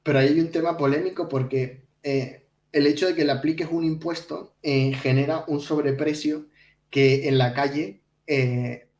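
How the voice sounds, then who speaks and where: A man co-hosting a podcast in conversational financial news discussion, explaining things haltingly with repeated words. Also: fairly high pitch, steady tone, a little mellow. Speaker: podcast co-host. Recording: roomy echo, distant from mic.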